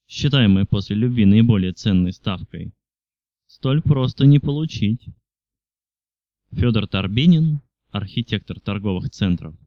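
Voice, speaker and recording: A man narrates literary prose as an audiobook reader. His voice sits fairly low, with a dark, relaxed tone. The recording is very dry and a bit muffled, reading as scripted storytelling. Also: no echo.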